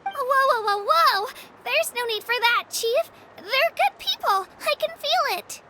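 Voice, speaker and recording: A woman's voice: very high voice, expressive, measured pace, background noise. A woman voicing an eager, friendly young girl adventurer in a video game, earnest, enthusiastic and chatty. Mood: flustered, pleading.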